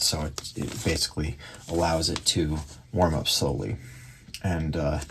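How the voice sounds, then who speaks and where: A man giving a casual hands-on repair demonstration, speaking haltingly as he thinks aloud while he works. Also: low-pitched, vocal fry. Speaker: radio repair hobbyist and presenter. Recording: steady background noise with clicks.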